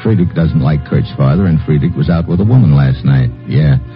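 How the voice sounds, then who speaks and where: An actor playing a cool, hard-boiled American investigator, clipped and wry, in an old-time radio drama. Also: male voice, very deep voice, a little fast, faint music behind. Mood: brooding. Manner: clipped, wry, matter-of-fact. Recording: dull lo-fi old radio recording.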